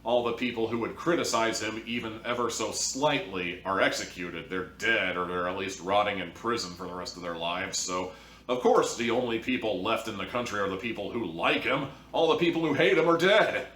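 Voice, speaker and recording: Echoey, exasperated low-voiced man reviewing. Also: a bit bright. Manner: exasperated, theatrically dramatic delivery with drawn-out words. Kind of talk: informal critical review, ranting. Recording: echoey room, distant mic, faint noise.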